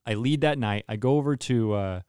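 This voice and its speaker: A man's voice: medium pitch, brisk, a little mellow, clear, resonant tone, no echo, clean studio sound. A young man and worship leader telling a story in casual podcast chat, earnest and often restarting his sentences.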